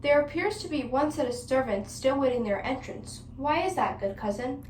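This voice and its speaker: A woman's voice: sits high, animated, moderate pace, a little mellow. A woman playing a haughty, indignant actress in a 1940s radio play, with theatrical delivery. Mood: regal. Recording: echoey, distant, faintly noisy room.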